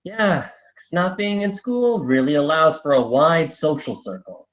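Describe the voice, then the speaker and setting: A man's voice: fairly high pitch, expressive, a little slow, lo-fi sound. A man reading the part of a restless, evasive teenage boy, defensive and sardonic, at a screenplay table read.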